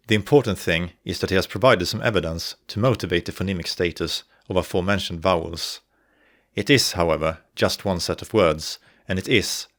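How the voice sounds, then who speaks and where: A young man with a Swedish accent narrates an educational explainer in a low, even voice. He speaks in a measured, deliberate way and takes care to articulate each point. The recording is close and dry, with no room sound. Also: no echo. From northern Sweden. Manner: measured, careful, slows to articulate example words. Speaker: video essayist on dialects.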